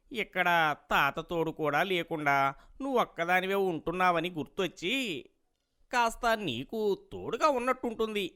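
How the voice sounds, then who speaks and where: Man as an ingratiating young villager, lively pitch.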